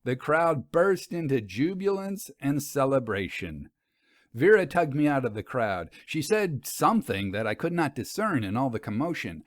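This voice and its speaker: A man reading a scripted story as narrator, in a measured, literary storytelling manner. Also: medium pitch, big pitch swings, no echo, clean studio sound.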